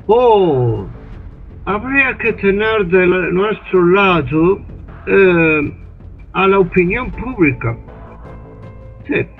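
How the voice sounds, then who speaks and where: A scheming, manipulative ambassador, voiced by an older man, who talks in a high, drawn-out, persuasive way. It sounds like a radio play heard down a tinny phone line with faint music underneath. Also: full character voice. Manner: slow, insinuating, persuasive. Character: scheming male British ambassador, smooth and manipulative. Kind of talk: amateur radio drama acting over video call. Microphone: computer webcam mic on a video call.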